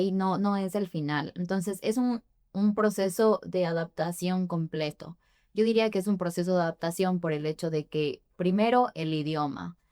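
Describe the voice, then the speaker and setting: A woman's voice: lowish voice, a little mellow, smooth, no room sound, clean studio sound. A young adult woman from Ecuador, a guest in a podcast interview, answering thoughtfully with frequent hesitations. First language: Spanish. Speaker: immigration forms preparer, guest.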